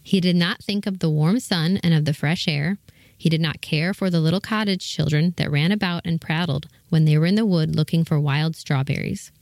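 Narrator, female, lower-than-average register, reading a scripted children's fairy tale in a dry, close recording. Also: normal speed, a little mellow, dry recording. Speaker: story narrator.

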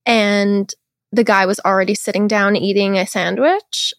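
A young woman chatting as a podcast guest, telling stories in an animated way and laughing often. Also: mid-range voice, measured pace, dry recording, studio quality. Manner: giggly, animated storytelling. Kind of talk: casual interview chat.

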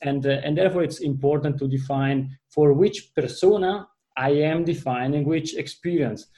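A man with an Italian accent talks through a business discussion, building his points with long examples and frequent restarts. His voice sits a little high and sounds mellow and relaxed. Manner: halting, restarts often, explains through examples. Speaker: panel guest.

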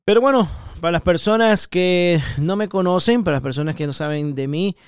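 A middle-aged man from Ecuador with an Ecuadorian Spanish accent, hosting an opinionated political podcast in an emphatic, repetitive way with sudden high stresses. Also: a little fast, clean tone, no echo, clean studio sound. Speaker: podcast host.

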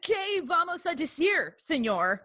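Animated, high-voiced obsequious young courtier. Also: female voice, measured pace, no echo, clean studio sound, full character voice. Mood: sheepish. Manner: exaggerated, deferential. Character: obsequious young male courtier, jolly but shifty friend. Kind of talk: classical Shakespearean stage acting for audio play.

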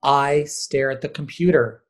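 A man answering interview questions conversationally as a high school theater director, stressing points by repeating words. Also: fairly even intonation, unhurried.

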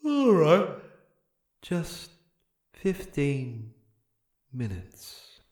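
Drowsy henchman, super slow, lightish voice, easily talked round, audio drama. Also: a little high, huge pitch swings, a little mellow, heavily acted. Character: sluggish, suggestible male henchman, sleepy.

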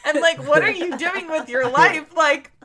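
A young woman in casual chat, telling animated stories and laughing often. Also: sits high, unhurried, a loud crowd. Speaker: podcast host.

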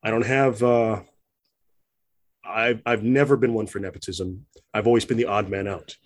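A man in an interview speaking reflectively, with many pauses, fillers and restarts. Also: on the low side, steady tone. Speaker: interview guest. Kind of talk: conversational interview.

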